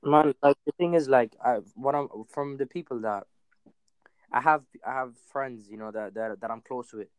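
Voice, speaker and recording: A man's voice: mid-range voice, moderate pace, smooth, no room sound, poor audio. A man in a heated casual discussion, insistent, repeating himself to keep the floor. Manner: insistent, interrupts to hold the floor.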